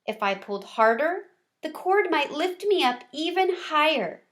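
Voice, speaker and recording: Fairly high-voiced woman reading a children's book. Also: big pitch swings, a little slow, a bit bright. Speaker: read-aloud storyteller. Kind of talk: expressive children's chapter book narration.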